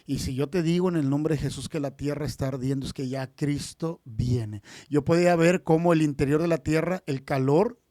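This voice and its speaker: Male preacher guest, earnest and urgent, slightly high voice, dry close sound. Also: moderate pace, hardly any pauses, warm tone, dry recording. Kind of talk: casual podcast conversation.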